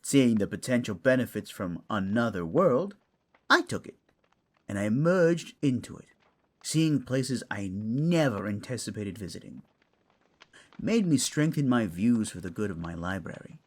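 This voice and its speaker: A man's voice: lowish voice, big pitch swings, unhurried. A man playing a wry, scholarly raccoon librarian in a fantasy audio drama, musing in a wordy, teacherly way. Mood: musing, fond.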